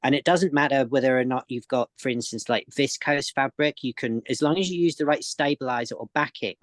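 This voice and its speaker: A man's voice: mid-range voice, a little fast, no room sound, studio quality. A man, a sewing expert guest, explaining techniques in casual chat, often repeating words as he goes.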